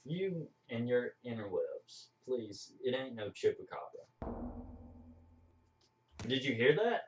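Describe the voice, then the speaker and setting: A man's voice: medium pitch, big pitch swings, slow, distant mic. A man playing a skeptical, grumbling appliance repairman in an amateur live radio play, dismissive and declaiming. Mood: gruff suspicion. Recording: echoey room, off mic, music behind.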